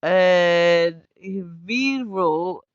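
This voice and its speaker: A man with a high, smooth voice gives a casual, off-the-cuff tutorial. He talks loudly and wanders, often correcting himself. The sound is close and dry but dull and lo-fi. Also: extremely slow, dry recording. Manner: loud, rambling, self-correcting. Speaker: host of a card game tutorial video. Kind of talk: casual unscripted how-to explanation.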